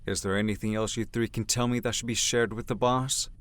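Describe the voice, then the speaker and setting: A man acting as a gruff, domineering, businesslike henchman of a crime syndicate in an audio drama. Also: fairly low pitch, fairly even intonation, brisk, fairly bright tone, lightly acted. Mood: probing, businesslike. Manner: blunt, domineering, no-nonsense.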